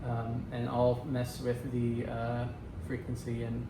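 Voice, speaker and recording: A young man explaining a technical device as a student presenter, in a halting, hesitant way. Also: mid-range voice, monotone. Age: young adult. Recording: noisy, echoey room recording.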